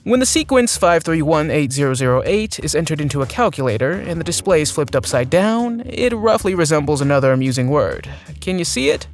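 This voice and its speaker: A man's voice: fairly high pitch, sing-song, brisk, hardly any pauses. A man reading scripted narration for a list video, presenting facts and putting questions to the viewer. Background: music.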